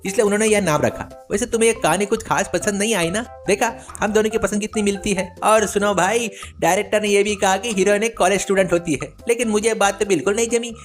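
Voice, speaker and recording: A pushy village braggart, acted out by a male storyteller, talks in a raised, high voice. He is a boastful idler in a story cartoon, always insisting and wheedling. Music plays behind him. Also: steady tone, fast talker, hardly any pauses, lightly acted. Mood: self-satisfied, eager. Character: boastful, idle village chatterbox man, pushy tall-tale teller.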